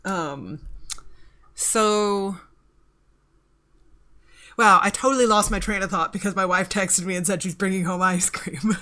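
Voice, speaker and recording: A woman's voice: mid-range voice, sharp tone. A woman in her thirties chatting casually on a stream while she works, rambling and swearing offhandedly as she reads out the chat. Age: 30s.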